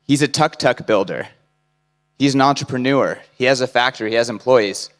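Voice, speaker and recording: A man's voice: mid-range voice, brisk. Earnest man speaking in a reverberant hall. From United States. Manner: earnest, persuasive, lists points in short phrases. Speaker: conference speaker. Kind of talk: conference keynote talk. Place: large conference hall. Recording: hall mic with long reverb.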